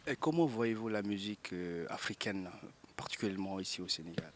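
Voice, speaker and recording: A man asking casual interview questions, halting and rephrasing them as he goes. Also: sits low, animated, slight background noise.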